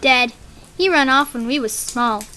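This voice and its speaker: High-pitched, eerily innocent little girl. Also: unhurried, sharp tone, faint music behind. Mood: innocent, matter-of-fact. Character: eager, innocent-sounding little girl, eerily matter-of-fact. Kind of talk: old-time radio drama acting.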